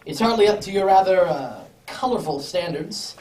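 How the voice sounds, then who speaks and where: A woman's voice: on the low side, lively intonation, normal speed, warm tone, distant mic, close to an everyday voice. A woman playing a polite, well-off lord with a formal, slightly hesitant manner in a radio drama. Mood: earnest, worried. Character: well-off, courteous English lord, earnest client. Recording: echoey room, off the microphone.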